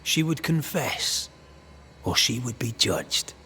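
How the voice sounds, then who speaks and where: An actor playing a weary, blunt ghost banisher who speaks in a measured, accusing way. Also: male voice, medium pitch, animated, pushed and bright, husky, exaggerated voice. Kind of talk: video game cutscene acting. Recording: long reverb under game music.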